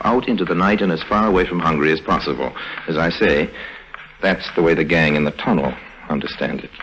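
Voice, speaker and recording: An actor playing a wry, worldly, self-assured con artist in old radio drama, telling the tale with unhurried irony. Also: male voice, sits low, a bit bright, a little hiss or hum, low-quality recording. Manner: wry, ironic, unhurried storytelling. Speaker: lead actor and narrator. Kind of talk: 1950s radio drama narration and dialogue. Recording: old radio recording with music behind.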